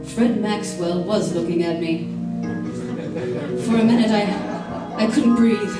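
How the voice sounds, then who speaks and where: A woman acting a lovestruck, scheming beauty parlor worker who tells her story in a deliberate, confessional old-time radio style. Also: medium pitch, close to an everyday voice. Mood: confessional, brooding. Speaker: stage actor in an old-time radio play. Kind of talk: old-time radio drama acting and first-person narration. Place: theater stage, live performance. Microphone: stage stand mics, distant camera. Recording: echoey stage from far off, organ music.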